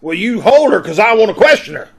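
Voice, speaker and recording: Man acting a high, creaky, blustering police inspector. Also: sing-song, fast, a crowd in the background, full character voice. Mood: startled, sober. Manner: blustering, sarcastic. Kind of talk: 1940s radio detective drama acting. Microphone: video call.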